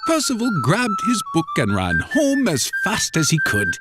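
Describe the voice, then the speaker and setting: A storyteller reading a scripted children's tale in a playful, dramatic manner with drawn-out phrasing. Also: mid-range voice, huge pitch swings. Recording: clear music bed behind.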